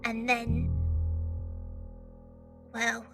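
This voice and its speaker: A woman's voice: a little high, monotone, drawn-out words, vocal fry, a bit bright, heavily acted. A woman playing a defiant young Victorian match girl who used to be in the circus, plain-spoken, in an audio drama. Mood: somber narration. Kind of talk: fan audio drama acting and first-person narration. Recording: music behind the voice.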